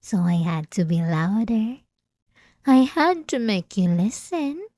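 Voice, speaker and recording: Woman acting as obsessive female vampire, unhurried, seductive, taunting, theatrical ASMR roleplay, close and dry sound. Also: mid-range voice, big pitch swings, dark tone, no room sound. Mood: wounded, possessive. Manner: slow, theatrical, seductive taunting with drawn-out pauses. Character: obsessive, possessive, manipulative centuries-old female vampire lover.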